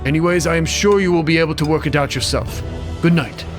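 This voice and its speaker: An actor playing a proud, jealous man in an audio drama, smooth and defensive, with music behind. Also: on the high side, fast, close to an everyday voice. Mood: dismissive. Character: proud, jealous adult man, smug ex-fiancé. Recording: loud music under the voice.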